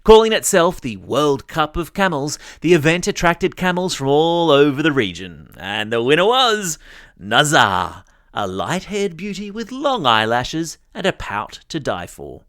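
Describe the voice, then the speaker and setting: A man's voice: on the high side, big pitch swings, unhurried, a bit bright, dry recording, clean studio sound. An Australian man hosting a news podcast for children, reading scripted stories in a chatty, playful way and speaking straight to young listeners. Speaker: podcast host.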